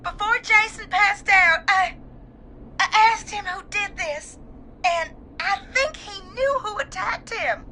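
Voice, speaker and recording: A shaken, tearful woman caller in a game drama. Her voice sits very high and sounds fragile. She speaks haltingly, full of grief. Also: lively intonation, heavy background noise, full character voice. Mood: grieving, shaken.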